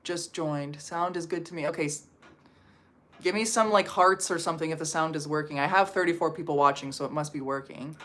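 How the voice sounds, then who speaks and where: Woman from Canada, somewhat low voice, chatty livestream host, lots of "like", answering viewer comments from home. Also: a little fast, a bit bright. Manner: chatty, filler-heavy with frequent "like". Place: home in Portugal.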